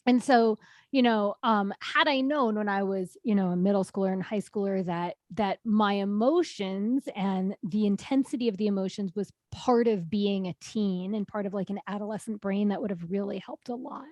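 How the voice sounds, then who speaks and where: A woman in her thirties co-hosting a podcast in casual chat, animated and quick to laugh. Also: mid-range voice, no room sound. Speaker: podcast co-host.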